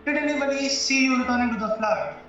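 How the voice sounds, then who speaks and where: A man acting in an audio play as a stern, skeptical defence lawyer, questioning in a formal, measured way. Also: very high voice, sharp tone. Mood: probing. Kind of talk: stage-style audio drama acting. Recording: very echoey, muffled, distant, music underneath.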